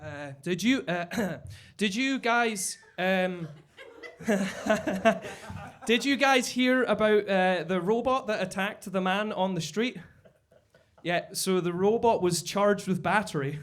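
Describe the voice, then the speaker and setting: A man headlining a live stand-up set, with a halting delivery full of fillers and stumbles. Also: high voice, fairly bright tone. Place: basement comedy club stage.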